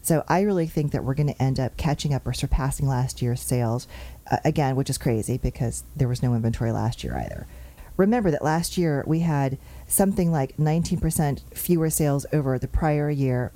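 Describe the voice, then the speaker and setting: A woman hosting a talk show, briskly and cheerfully running through market numbers before interviewing a guest. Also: extremely low pitch, lively intonation, mellow. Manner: brisk, upbeat, reels off numbers. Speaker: show host and real estate agent. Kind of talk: chatty show monologue on market figures.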